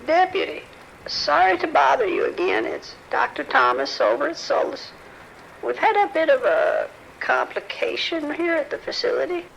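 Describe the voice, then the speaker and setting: A man's voice: very high-pitched, sing-song, normal speed, gravelly, fairly bright tone, a little hiss or hum, exaggerated voice, low-quality recording. A man playing a worried, apologetic scientist in an audio drama, speaking carefully and formally with hesitations. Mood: anxious, apologetic. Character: apologetic, worried research scientist reporting trouble, male. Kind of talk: audio drama phone dialogue.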